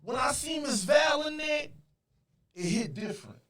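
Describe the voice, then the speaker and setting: A Southern man on a podcast, loud and playful, often breaking into shouts. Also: very high voice, expressive, a little slow, recorded from a distance. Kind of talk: casual podcast talk.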